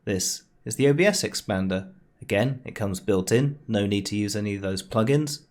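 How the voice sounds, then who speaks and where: A man's voice: fairly low pitch, a little mellow. A man narrating an informal screencast tutorial in a conversational, explanatory way with wry understatement. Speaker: tutorial host. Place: home room, voice-over recorded near computer.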